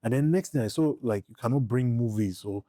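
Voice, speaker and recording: A man talks casually as a podcast host. His voice is mellow and mid-pitched, recorded dry, in an easy anecdotal style. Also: fairly quick, dry recording.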